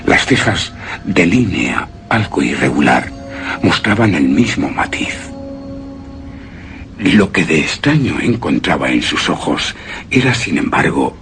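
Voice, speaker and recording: A grave, lovestruck man narrates a tale of horror and obsession. He speaks very slowly in a deep voice, savoring each phrase with solemn, reverent weight and hushed emphases. Soft music plays behind him. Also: exaggerated voice. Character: grave, obsessed man rapturously recalling his beloved's eerie beauty. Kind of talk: dramatic radio theatre monologue.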